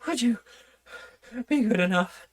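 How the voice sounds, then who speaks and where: Storybook read-aloud: man playing a polite young girl, earnest and questioning, pitched up. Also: expressive, measured pace. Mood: curious.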